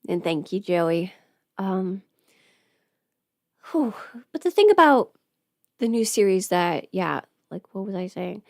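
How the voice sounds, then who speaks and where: A woman's voice: mid-range voice, animated, gravelly, darkish tone, no room sound. Casual woman livestreamer, dry close sound. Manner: halting, rambling, trails off mid-thought. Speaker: livestream host, audio performer. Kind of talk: casual livestream chat while getting ready.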